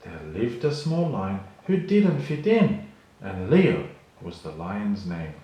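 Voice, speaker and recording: Very echoey, expressive man reading a storybook. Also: lowish voice, slow pace. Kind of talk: expressive children's read-along narration. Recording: very echoey room, faint noise.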